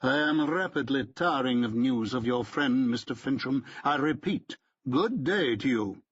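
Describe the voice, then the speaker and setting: A man's voice: medium pitch, expressive, unhurried, breathy edge, dry recording, highs cut off. A man voicing a pompous, stiff middle-aged barrister who talks in a haughty, clipped, precise way.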